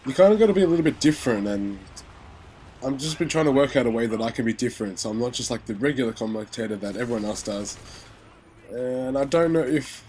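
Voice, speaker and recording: A man's voice: mid-range voice, fast, darkish tone, a little hiss or hum, poor audio. Young man rambling, nose still stuffy. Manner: rambling, lots of fillers and restarts. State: stuffy nose, sore voice after illness. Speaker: gaming commentator. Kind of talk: casual game commentary.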